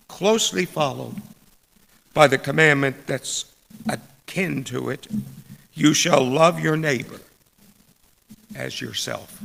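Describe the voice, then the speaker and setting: A man delivering a scripted church sermon with deliberate, emphatic phrasing and dramatic rhetorical pauses. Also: mid-range voice, gravelly, fairly bright tone. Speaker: preacher reading a sermon. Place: large indoor church space. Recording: echoey hall with long reverb.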